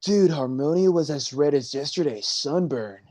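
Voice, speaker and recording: A man's voice: fairly high pitch, animated, moderate pace, dark tone. A man playing a laid-back, teasing young surfer-dude sea god in a staged play reading, talking in a slangy drawl.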